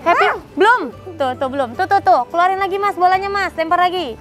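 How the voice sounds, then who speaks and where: A woman's voice: very high voice, quick pace, over music. A woman in her thirties hosting a casual family vlog at an outdoor pet cafe, chatty and playful, coaxing her kids along. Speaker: vlog host.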